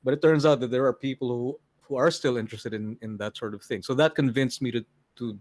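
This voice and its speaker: An older man with a Filipino accent, a podcast guest talking in a deadpan way and mixing English with Tagalog in casual chat on a video call. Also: medium pitch, quick pace, no room sound. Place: home room on a video call.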